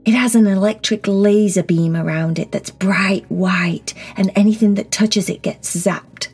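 A woman leads a guided meditation for children, speaking softly and breathily, often close to a whisper, in a gentle, reassuring way with simple words. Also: medium pitch, fairly even intonation, measured pace, breathy edge. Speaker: guided meditation teacher and host. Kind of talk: children's guided meditation, slow soothing instruction. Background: soft background music.

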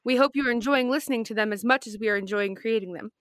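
Young woman doing scripted radio drama announcements, a bit high, dry close sound. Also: fast, fairly bright tone, no room sound. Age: young adult.

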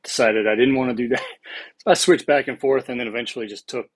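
A man's voice: medium pitch, fairly even intonation, fast talker, no room sound. A man in his forties who grew up in South Africa, talking casually as a podcast guest, reflective and laughing often. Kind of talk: casual conversation.

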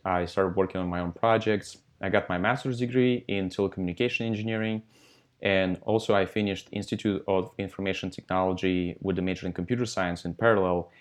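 Casting: low-voiced man, 30s, tech founder, halting answers. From Russia. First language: Russian. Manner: halting, lots of fillers. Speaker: guest, software company founder. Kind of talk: casual interview answers.

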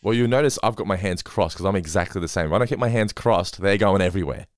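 A man hosting a casual podcast chat, talking quickly and laughing often. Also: low voice, no echo, clean studio sound. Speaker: podcast host.

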